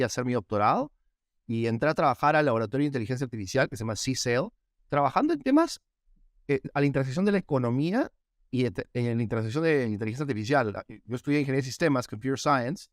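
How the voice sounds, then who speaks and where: Very fast-talking Peruvian man. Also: mid-range voice, no echo, clean studio sound. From Peru. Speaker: podcast guest, AI executive. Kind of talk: casual interview talk.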